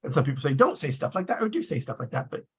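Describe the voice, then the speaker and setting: Casual man with a slightly husky voice. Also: mid-range voice, very animated, racing. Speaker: discussion host. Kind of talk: casual group discussion of a script.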